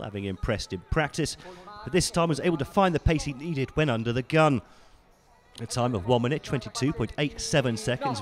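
A man giving polished, scripted voice-over commentary on ski race highlights. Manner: measured, polished broadcast delivery. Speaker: sports commentator.

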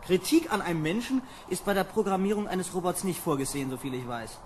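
A man's voice: sits high, animated, quick pace, background noise. A man playing an exasperated, sardonic space lieutenant who bosses his crew in an old children's radio play. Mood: irritated, sardonic. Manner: sardonic, bossy. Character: exasperated young space lieutenant commanding a landing boat.

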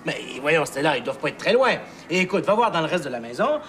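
An actor playing a scatterbrained inventor uncle with a Québécois accent, muttering and lecturing. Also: male voice, a little high, big pitch swings, fast talker, crowd noise. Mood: fussy. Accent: Québécois French. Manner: befuddled, lecturing, muttering to himself.

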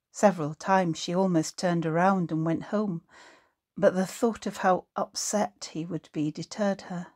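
Slow, low-pitched woman reading a story. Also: darkish tone. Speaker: author reading book excerpt. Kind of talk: scripted literary narration.

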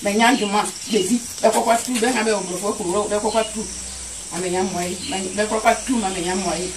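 A woman's voice: medium pitch, steady tone, fairly quick. A woman speaking spontaneously and repetitively, as a villager in a deliverance ritual. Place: open-sided yard outdoors. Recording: noisy outdoor phone video, off mic.

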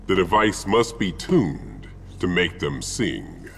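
An actor playing an arrogant, menacing titan supervillain in a video game, with a gravelly voice and pompous, disdainful formal speech. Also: male voice, deep voice, very animated, takes it slowly, slight background noise, exaggerated voice. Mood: haughty. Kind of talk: video game villain acting.

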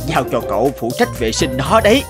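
A man voicing a friendly old villager in an animated fairy tale, with an unhurried, drawn-out delivery. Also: mid-range voice, over music, lightly acted. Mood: pleased. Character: friendly old male villager, slow-talking and obliging.

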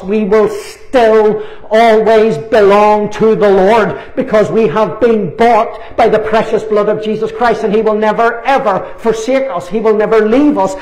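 A man in his sixties preaching a fervent sermon, emphatic and repetitive, with deliberate pauses, on a lapel mic in a small church meeting room. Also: high voice. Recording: roomy, echoing meeting room.

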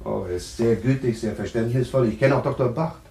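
An actor in a German radio play playing an anxious, troubled man haunted by visions, speaking haltingly with a husky voice. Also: mid-range voice, mellow. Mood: subdued. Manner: halting, haunted, repeating himself.

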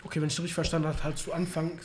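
A young man speaks as an interview host in a casual, explanatory way. His voice sits a little high and moves quickly, close on a studio microphone. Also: level tone, darkish tone, a little hiss or hum. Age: young adult. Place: small indoor studio lounge. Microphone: microphone on boom arm.

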